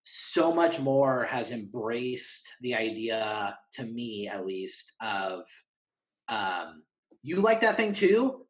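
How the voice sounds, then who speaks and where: A man's voice: medium pitch, very animated, takes it slowly, poor audio. Enthusiastic, rambling man chatting casually. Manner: enthusiastic, rambling, acts out imagined dialogue. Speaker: interview guest, horror fan and writer. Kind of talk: casual conversational chat.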